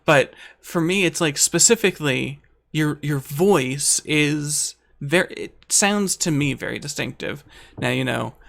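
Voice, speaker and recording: An American man with a fairly high voice that has a gravelly, crackly edge. He talks as a guest in an easygoing chat. He is thoughtful and pokes fun at himself. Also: a little slow, fairly bright tone. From United States. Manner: thoughtful, self-deprecating. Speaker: guest YouTuber. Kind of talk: casual conversation.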